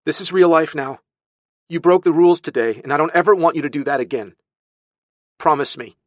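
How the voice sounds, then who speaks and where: Male narrator as worried young aunt, raised pitch, sharp and firm, emotional, audiobook dialogue, dry studio sound. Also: fairly quick, dry recording, lo-fi sound, natural voice. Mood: sharp anger. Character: distraught, stern young aunt scolding a little boy.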